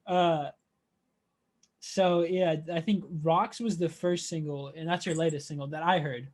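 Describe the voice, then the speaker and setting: A man's voice: a little high, steady tone, measured pace, darkish tone. A man interviewing in a casual, laid-back way, talking in slang like a fan.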